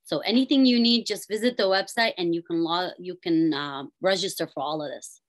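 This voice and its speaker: A woman's voice: on the low side, a little fast. A woman with a friendly, slightly flustered manner, describing community programs. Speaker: program presenter.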